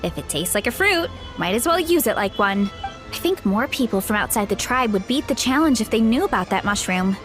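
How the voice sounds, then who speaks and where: High, lively young farm woman. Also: a little fast, soft background music. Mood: friendly, explaining. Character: friendly young woman, easygoing food-loving mushroom farmer. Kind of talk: animated game character dialogue.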